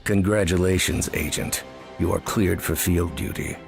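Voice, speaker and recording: Low, gravelly, stern spy director. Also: male voice, fairly even intonation, moderate pace. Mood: cold, begrudging. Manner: curt, stern. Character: gruff, begrudging male spy training director. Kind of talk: scripted game cutscene dialogue. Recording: loud music behind.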